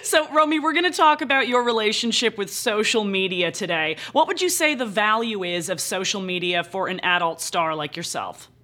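A woman's voice: mid-range voice, animated, normal speed, hardly any pauses, bright, forceful tone. A woman hosting a talk show, reading a news item and interviewing a guest in a bubbly, upbeat presenter manner from a studio. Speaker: podcast host.

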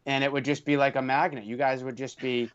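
A man's voice: medium pitch, little pitch movement, fairly quick, muffled recording, crowd noise. A man with a Boston accent co-hosting a podcast, chatting casually in a joking, rambling way. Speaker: podcast co-host. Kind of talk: casual chat.

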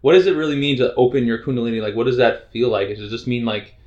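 A man's voice: mid-range voice, fast talker, darkish tone. A male host asking curious, rambling questions in a casual interview. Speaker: podcast host. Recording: roomy, dull lo-fi sound.

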